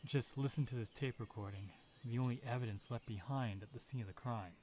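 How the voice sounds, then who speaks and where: A man's voice: mid-range voice, brisk, darkish tone, a little hiss or hum, close to an everyday voice. A man playing a friendly, persistent forensic detective in a comic dub, speaking in an eager, familiar way. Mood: businesslike. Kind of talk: amateur comic dub dialogue.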